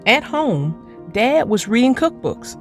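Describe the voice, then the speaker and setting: Woman narrator, fairly high voice, unhurried, children's storybook read-aloud, music behind. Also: very animated.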